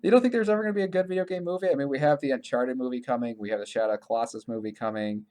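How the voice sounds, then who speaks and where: Male podcast co-host, average pitch, loose conversational delivery, tentative and thinking aloud. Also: rapid-fire. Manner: informal, hedging, thinking out loud. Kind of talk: casual podcast chat about games and films.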